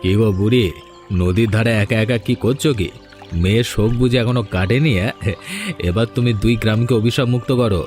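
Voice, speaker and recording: A man's voice: fairly low pitch, normal speed, a little mellow, lightly acted. A man playing a plain-spoken, pushy village farmer in a scripted cartoon story, with music under the voice. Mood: pushy, threatening.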